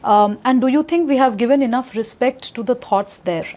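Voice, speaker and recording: A woman interviewer with a fairly high voice. She asks her questions hesitantly and fills the pauses with "um" and "uh". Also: darkish tone. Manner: hesitant, many fillers.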